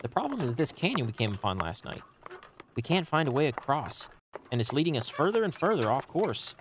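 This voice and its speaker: A man's voice: medium pitch, big pitch swings, fairly quick, background noise, close to an everyday voice. A man voicing a sensible, exasperated little cartoon creature in a comic dub, earnest and admonishing. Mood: concerned. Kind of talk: comic book dub acting.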